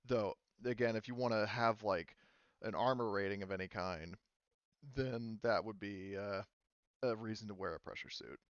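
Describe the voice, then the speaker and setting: Halting man running a tabletop game. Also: on the low side, clean tone, no room sound, dull audio. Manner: explanatory, halting. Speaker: game master. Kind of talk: narrating an online tabletop roleplaying game.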